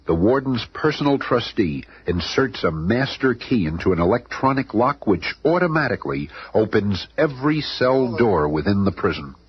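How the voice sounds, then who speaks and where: A man's voice: on the low side, big pitch swings, moderate pace, breathy edge, heavily acted. A man narrating a comic-book radio drama in a grandiose, suspenseful style. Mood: urgent, grandiose. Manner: bombastic, suspenseful. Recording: dull, noisy old radio recording.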